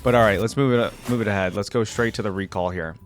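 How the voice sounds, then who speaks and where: A young man giving casual, expressive reaction commentary as a video host, easily amused. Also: fairly low pitch, fast talker. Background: game audio and music.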